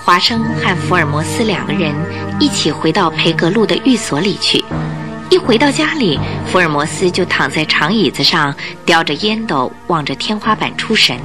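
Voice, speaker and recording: A woman's voice: on the low side, lively intonation, moderate pace, over music. A woman reading scripted narration for a Mandarin radio drama.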